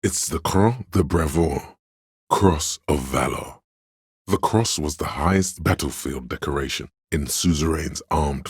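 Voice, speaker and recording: An extremely low, gravel-edged male voice plays the learned inner encyclopedia of a video game character's mind. He lectures in a measured, pedantic manner, matter-of-fact with dry asides. The sound is very husky and close, with no room around it. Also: no room sound, exaggerated voice. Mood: measured, informative. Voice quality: very deep, husky. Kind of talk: scripted video game narration of trivia.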